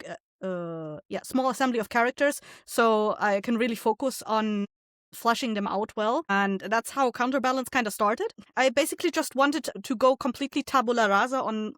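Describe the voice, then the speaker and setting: A woman with a fairly high voice talks casually as a guest in an interview. She is thoughtful and a little hesitant, feeling for her words, in a dry recording with hardly any echo. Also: a bit bright. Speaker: interview guest, indie audio drama creator.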